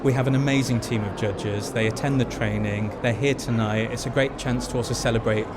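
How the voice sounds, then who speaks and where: A man's voice: mid-range voice, steady tone, fairly quick. A man giving earnest, explanatory interview answers at an event venue, stressing points by repeating them. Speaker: head of awards judging. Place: event venue before ceremony. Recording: noisy busy venue ambience.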